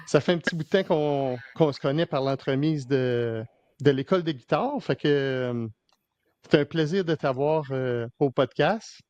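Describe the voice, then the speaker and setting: Animateur québécois hésitant, voix sèche et terne. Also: male voice, a little high, moderate pace, a little mellow, no echo, lo-fi sound. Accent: Québécois French. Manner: hesitant, many fillers and restarts. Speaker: podcast host. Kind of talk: casual interview.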